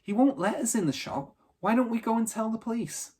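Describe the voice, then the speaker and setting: A man's voice: extremely high pitch, lively intonation, a little fast, darkish tone, slightly rough, pitched above the performer's own voice, exaggerated voice. A man voicing an outspoken, practical young girl with a sarcastic streak in a children's storybook. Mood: doubtful.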